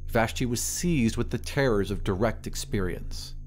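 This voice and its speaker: A man narrating a scripted dramatic story in a measured, literary manner. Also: on the low side, soft background music.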